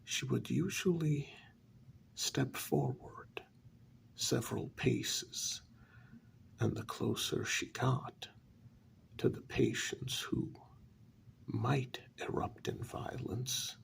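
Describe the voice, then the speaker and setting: A man telling a story in a soft-spoken ASMR style, breathy and deliberate, with many dramatic pauses. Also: medium pitch. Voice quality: breathy half-whisper with heavy creak. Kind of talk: soft-spoken ASMR story narration, first-person role-play.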